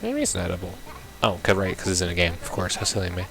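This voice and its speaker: Low, gravelly male let's player. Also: very animated, very fast, fairly bright tone, soft background music. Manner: bemused, exclamatory reactions. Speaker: gamer playing a visual novel. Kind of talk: casual let's play commentary, reading game text aloud.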